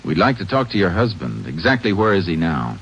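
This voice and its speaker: An American actor playing a calm, probing Texas Ranger in an old-time radio crime drama, measured and matter-of-fact. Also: male voice, sits low, breathy edge, exaggerated voice. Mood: polite, firm. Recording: muffled, noisy old radio broadcast recording.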